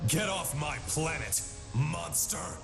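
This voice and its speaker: A man's voice: a little high, takes it slowly, gravelly, big-room sound, over music. An actor playing a forthright, defiant male superhero in a video game, earnest in manner. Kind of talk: video game cutscene acting.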